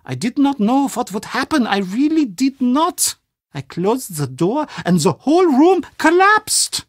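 An actor playing an anxious, gloomy older castle official in a video game, with a German accent and a fretful, melodramatic, formal way of talking. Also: male voice, very high voice, sing-song, measured pace, no room sound, clean studio sound, full character voice. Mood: gloomy, haunted. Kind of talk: video game character acting.

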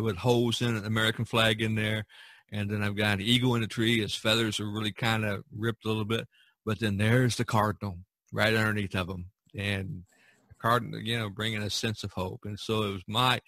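A man hosting a podcast in a casual chat, speaking in a halting, folksy and encouraging way. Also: on the low side, a bit bright, dry recording. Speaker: podcast host.

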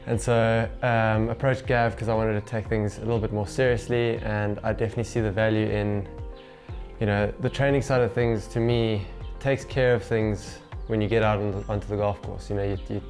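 A man's voice: on the low side, flat delivery, fairly quick, darkish tone. A man in his twenties, a professional athlete, chatting casually in an interview on a lapel mic. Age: 20s. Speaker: professional golfer being interviewed. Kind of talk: casual interview talk to camera. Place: small home gym room, indoors. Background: background music.

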